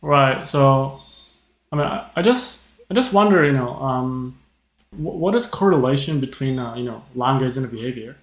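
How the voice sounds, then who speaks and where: A man from Japan, hosting a casual chat podcast in English and speaking haltingly, with many fillers. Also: medium pitch, lively intonation. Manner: halting, leans heavily on "you know". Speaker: podcast host. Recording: roomy echo.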